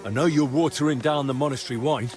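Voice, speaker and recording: A man's voice: mid-range voice, fast talker, a little mellow, soft background music, lightly acted. A man playing an earnest young investigator in a medieval video game drama, direct and questioning, reassuring but pressing. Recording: clear background ambient noise.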